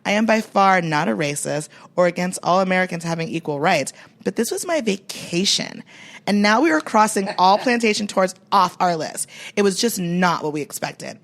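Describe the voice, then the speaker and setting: A woman's voice: lowish voice, animated, normal speed. Entitled tourist lady acted by a woman, comedic review reading, affected girly inflection, aggrieved and disappointed.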